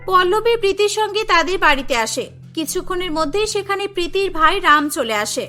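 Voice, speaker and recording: A woman's voice: very high voice, animated, a little fast, sharp tone. A woman narrating a scripted cartoon story, with music behind her.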